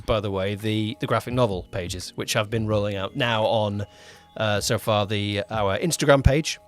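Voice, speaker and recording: A man co-hosting a casual behind-the-scenes podcast as a producer, rambling and often rewording himself mid-sentence. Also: medium pitch. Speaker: podcast co-host, audio drama producer-director.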